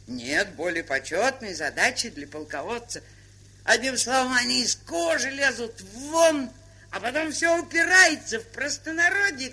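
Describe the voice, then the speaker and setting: A woman's voice: on the high side, pushed and bright, breathy edge, exaggerated voice. An actress playing a shrewd, sardonic older woman camp trader, lecturing with worldly irony in a theatrical stage style. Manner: worldly-wise, ironic, lecturing. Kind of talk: theatrical stage drama acting. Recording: hissy 1970s studio drama recording.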